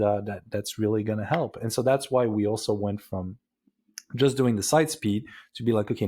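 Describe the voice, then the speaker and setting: A man's voice: fairly low pitch, mellow, breathy edge. A man with a Dutch accent, a podcast guest talking casually and often restarting his sentences. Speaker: guest, ecommerce agency founder.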